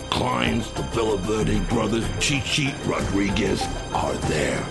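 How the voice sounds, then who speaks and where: A man playing a cynical, boozy American CIA insider in a dramatic audio-comic monologue, sardonic, slangy and conspiratorial, with a gravelly voice. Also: fairly low pitch, full character voice. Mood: smug, boastful. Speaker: author reading his own work. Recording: voice over loud music.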